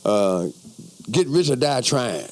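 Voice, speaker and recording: A man's voice: mid-range voice, big pitch swings, takes it slowly, darkish tone. A man speaking as a guest on a talk show, preachy and rhetorical as he builds up his points.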